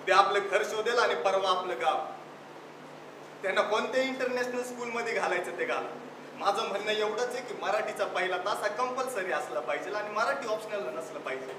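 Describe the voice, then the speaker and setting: A man acts an earnest young uncle in a stage drama, stubborn and argumentative. His voice is high and projected, picked up from afar with echo and clear noise. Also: brisk, natural voice. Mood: insistent, argumentative. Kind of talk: stage play dialogue in Marathi. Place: indoor stage at a youth festival. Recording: echoey stage from far off, noisy.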